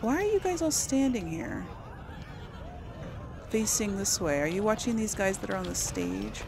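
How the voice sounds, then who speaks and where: A woman's voice: medium pitch, very animated, normal speed, background noise. A woman streaming a role-playing game, thinking aloud in casual, chatty commentary. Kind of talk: casual let's play commentary.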